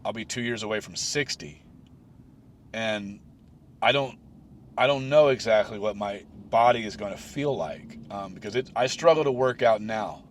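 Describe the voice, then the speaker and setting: A man's voice: lowish voice, moderate pace, a little hiss or hum. A man in his late forties talking alone on his own podcast, rambling with many restarts and fillers. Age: 40s. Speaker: podcast host. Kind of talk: solo reflective podcast talk.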